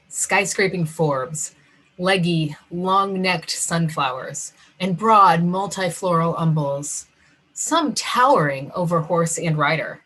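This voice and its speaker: A woman giving a sermon in a slow, lyrical, descriptive delivery during a remote online service. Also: fairly low pitch, a bit bright, rough recording. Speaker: guest preacher. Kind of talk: sermon reading.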